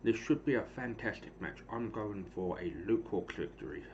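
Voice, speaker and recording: A hoarse man in his thirties with a lowish voice rambles through play-by-play of a wrestling game on his stream, stopping often to clear his throat. The audio is dull and lo-fi, with a steady hiss of noise underneath. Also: moderate pace. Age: 30s.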